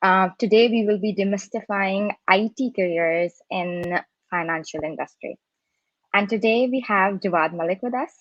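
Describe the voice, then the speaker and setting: A woman's voice: medium pitch, normal speed, no room sound. A young woman in her twenties hosting a panel interview on a video call, friendly, with some "um" and "like". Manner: friendly, scripted introductions, uses "um" and "like". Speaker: host, panel moderator. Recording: dry muffled video call audio.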